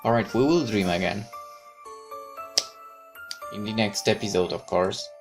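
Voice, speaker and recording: Deep voiced guy reading out a visual novel for a gaming video, bit halting, faint music behind.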